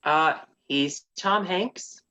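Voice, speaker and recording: A woman's voice: deep voice, very slow, bright, forceful tone. A woman narrating a comedic radio play in a sassy, theatrical manner.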